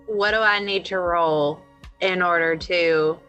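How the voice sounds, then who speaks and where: A woman's voice: on the low side, steady tone, slow, sharp tone, clean tone, highs cut off. A playful woman chatting colloquially as a player in a tabletop roleplaying game. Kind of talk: casual animated game chat. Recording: music playing under the voice.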